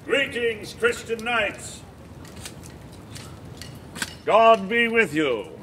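An actor in a historical audio drama playing a stern, pious and ruthless Templar marshal who speaks with formal authority. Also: male voice, high voice, lively intonation, extremely slow, bright, forceful tone, a little hiss or hum, heavily acted. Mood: measured greeting.

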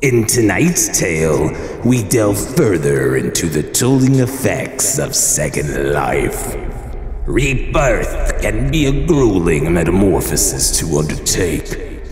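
Horror anthology host: sinister, grandiose, booming, very slow, drenched in long reverb. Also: male voice, big pitch swings, a crowd in the background, exaggerated voice. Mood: ominous, grandiose. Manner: ominous, drawn-out, booming delivery. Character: sinister, grandiose male host of macabre tales. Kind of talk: theatrical horror show introduction. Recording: long cavernous reverb over loud music.

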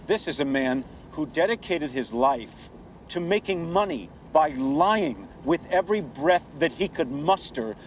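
A man's voice: on the high side, expressive, unhurried, a little hiss or hum. An actor playing a cold, self-righteous male killer who speaks with cold scorn and contempt. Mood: contemptuous.

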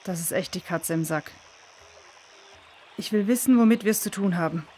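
A woman's voice: on the low side, moderate pace, darkish tone, close to an everyday voice. Woman as a confident researcher, faint stream noise. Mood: pondering. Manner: matter-of-fact, reassuring. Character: confident, calm river researcher fond of idioms. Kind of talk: children's audio drama acting.

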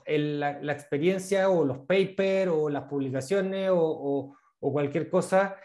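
A man in his thirties with a Chilean accent, giving casual advice on a video call, fast and full of fillers and repeated words. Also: fairly high pitch, a little mellow. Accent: Chilean Spanish. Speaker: guest speaker on international internships. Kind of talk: casual advice in an online talk. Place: small room indoors. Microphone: video call, earphone mic.

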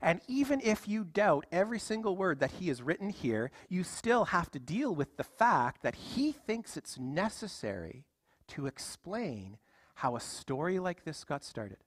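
A man's voice: high-pitched, big pitch swings, measured pace, no echo, clean studio sound. A man in his thirties preaching a sermon from a church stage, emphatic and full of rhetorical questions. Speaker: pastor. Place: church stage with music stands.

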